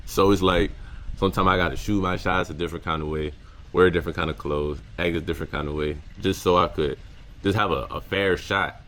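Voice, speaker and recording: A young man with a deep voice, talking casually to camera in a reflective vlog, full of slang and repeated words. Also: fairly even intonation, a little fast, fairly bright tone. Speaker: content creator.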